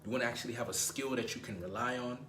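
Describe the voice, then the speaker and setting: A young man with a low voice, giving dating advice in a casual, slangy way and often repeating himself for emphasis. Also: rapid-fire, a bit bright, reverberant, a little hiss or hum. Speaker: dating coach.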